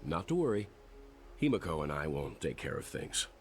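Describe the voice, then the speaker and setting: Very low, calm mature man. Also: normal speed, slight background noise. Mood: calm, reflective. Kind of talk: scripted video game dialogue.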